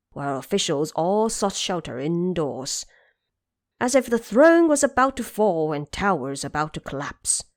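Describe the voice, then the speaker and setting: A woman narrating an audio drama of a classic tale in an expressive storytelling manner. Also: medium pitch.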